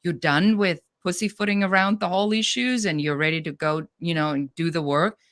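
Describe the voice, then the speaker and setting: A woman's voice: lowish voice, brisk, fairly bright tone. A middle-aged woman with a German accent, speaking as a guest on a business podcast in a direct, no-nonsense way. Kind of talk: podcast interview.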